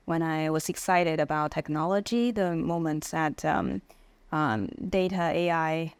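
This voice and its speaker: A woman in tech leadership answers interview questions, pausing often and filling gaps with frequent ums and uhs. Her voice is notably low, and the recording is dry and close. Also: clean tone, no echo. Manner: halting, with many uh and um fillers. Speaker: podcast guest, tech leader. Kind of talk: casual interview chat.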